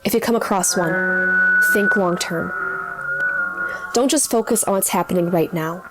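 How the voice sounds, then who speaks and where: A woman playing a dutiful, weary nurse in an audio drama, giving measured survival advice with dry sardonic asides. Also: on the low side, over music, natural voice. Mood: steady, earnest. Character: weary, dutiful, wry nurse staying behind after apocalypse. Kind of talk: audio drama radio broadcast monologue.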